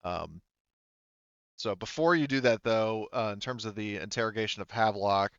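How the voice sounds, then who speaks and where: Male game master, low-pitched, hesitant and digressive tabletop narration, dry slightly dull sound. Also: a bit bright, smooth, no room sound. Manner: halting, rambling delivery full of hedging asides.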